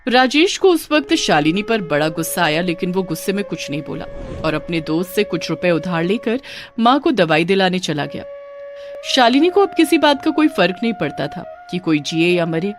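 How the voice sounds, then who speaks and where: Female narrator for bedtime moral stories: scripted read, animated pitch, music bed. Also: normal speed. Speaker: story narrator.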